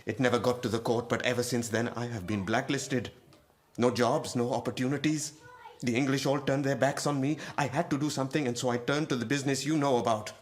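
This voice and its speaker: An actor playing an embittered moneylender in a radio drama, with a deliberate, insinuating, formally polite menace. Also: male voice, medium pitch, lightly acted. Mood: bitter pleading. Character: embittered, menacing Indian moneylender and lowly clerk, a father.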